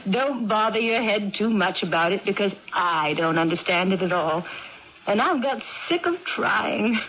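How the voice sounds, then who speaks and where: Aging aunt, unhurried, reflective, matter-of-fact, vintage radio play, muffled sound. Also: female voice, on the low side, gravelly, a bit bright. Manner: deliberate, musing, matter-of-fact with pauses. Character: contented, practical, aging aunt with a lost memory. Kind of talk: 1980s radio drama acting. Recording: dull, noisy old radio broadcast.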